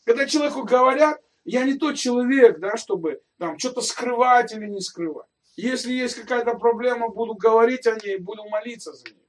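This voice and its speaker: A man's voice: extremely high pitch, brisk, recorded from a distance, poor audio. A male pastor giving a sermon in a conversational way, speaking straight to his listeners and asking them rhetorical questions.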